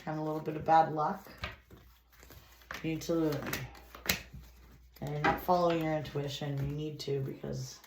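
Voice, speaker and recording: A woman's voice: low voice, little pitch movement, normal speed, pauses often. A woman with a stuffy nose from a cold, giving a chatty, rambling tarot reading and reading card messages aloud. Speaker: tarot reader. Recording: echoey room with clear noise.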